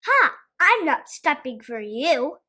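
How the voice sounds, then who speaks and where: A woman's voice: high voice, big pitch swings, slow, pushed and bright, heavily acted. A woman voicing a small, cocky gingerbread man who taunts and boasts in a storybook. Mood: taunting. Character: cocky, teasing little gingerbread man, a runaway.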